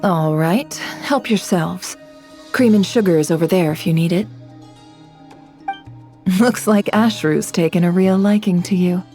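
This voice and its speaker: A shrewd young woman of intrigue from a video game, speaking on the low side. She is composed, smooth and knowing, with faint music underneath. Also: measured pace, long pauses, warm tone. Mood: relaxed, fond. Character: poised, shrewd young woman running an intelligence business. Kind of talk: English video game voice acting.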